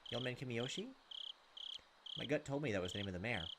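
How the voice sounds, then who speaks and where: A man's voice: mid-range voice, animated, fast, noisy background. A young man reading visual novel text aloud and commenting as a let's play host. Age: young adult. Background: game music.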